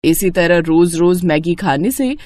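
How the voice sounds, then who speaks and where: A woman narrating a scripted animated family story. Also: fairly low pitch, measured pace.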